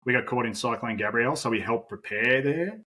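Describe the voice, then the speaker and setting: A man's voice: medium pitch, a little fast, sharp tone, slightly rough. An Australian man in his forties, a podcast guest talking casually, rambling with lots of fillers and the odd swear word. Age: 40s. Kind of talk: casual conversational interview.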